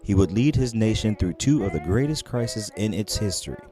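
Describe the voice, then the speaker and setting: A man's voice: on the low side, animated, moderate pace. An American man reads scripted documentary narration. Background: music.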